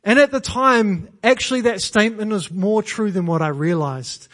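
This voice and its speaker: Kiwi guy preaching in church, highish voice, earnest, repeats his points, jokes about himself. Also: normal speed, a bit bright. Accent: New Zealand. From New Zealand. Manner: earnest, self-deprecating humor, emphatic repetition. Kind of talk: sermon.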